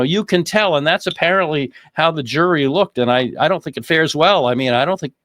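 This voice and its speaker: A man's voice: mid-range voice, fairly bright tone, no echo. A man hosting a legal commentary show, talking conversationally, often repeating phrases and posing rhetorical questions.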